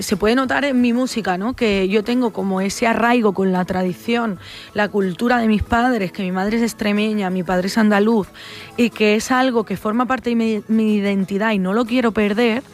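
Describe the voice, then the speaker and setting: A woman's voice: mid-range voice, fairly even intonation, normal speed, faint music behind. A young adult woman with a Spanish accent from Barcelona, a flamenco singer answering interview questions in a thoughtful, hesitant way, full of fillers and restarts. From Spain.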